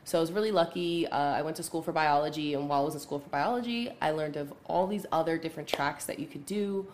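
Woman, 30s, low voice, podcast guest, casual, laughing, faint background noise. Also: fast. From Pittsburgh area, United States. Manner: laughs often, many fillers like "um" and "like". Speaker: podcast guest, veterinary nurse.

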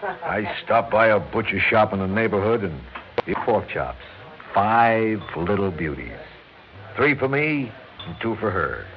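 An actor playing a tired, devoted working-class young husband in an American radio drama, plain-spoken and stammering when pressed, with an American accent. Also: male voice, on the low side, very animated, a little slow. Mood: fond, proud. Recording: muffled, noisy old radio recording.

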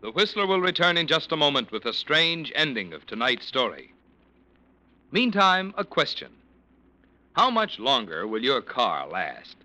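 A man's voice: mid-range voice, huge pitch swings, unhurried, pushed and bright, rough recording. A man with an American accent, reading polished, emphatic announcements and sponsor copy in 1940s radio style.

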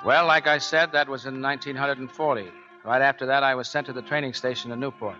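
Actor as blunt Navy chief, old radio sound. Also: male voice, mid-range voice, fairly even intonation, fast talker, bright, forceful tone, natural voice. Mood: somber narration. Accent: American. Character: gruff, blunt Navy chief gunner's mate, protective older brother. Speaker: actor playing lead and narrator. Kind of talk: 1940s American radio drama acting. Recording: dull 1940s radio recording with music.

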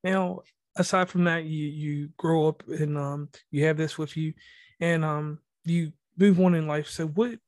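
A man's voice: fairly high pitch, fairly even intonation, a little slow, no echo. A man hosting a casual podcast interview, rambling a little and restarting his sentences. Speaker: podcast host.

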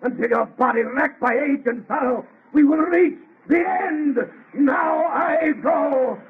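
A man playing a vengeful, mocking man-made monster in old radio horror style, raspy and grandiose in his speech. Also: very high-pitched, slow pace, full character voice. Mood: bitter, gloating. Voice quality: raspy, gravelly. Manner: grandiose, declamatory. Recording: muffled lo-fi old radio recording.

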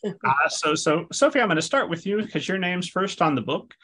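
A man's voice: sits high, animated, normal speed, bright, forceful tone, breathy edge. A man hosting a podcast interview, playful and mock-formal as he talks to his audience. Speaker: podcast host.

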